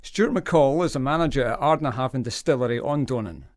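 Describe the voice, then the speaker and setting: Actor as a measured, dry-sounding male newsreader. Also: mid-range voice, no room sound, lightly acted. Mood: formal. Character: serious, measured radio news reporter. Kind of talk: scripted broadcast news delivery in audio drama.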